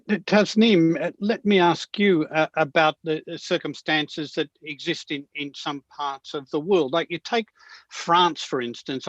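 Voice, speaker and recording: Male moderator, higher pitch, inquisitive, dry and dull recording. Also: fairly bright tone, no room sound. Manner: inquisitive, brief prompts. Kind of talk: panel moderation.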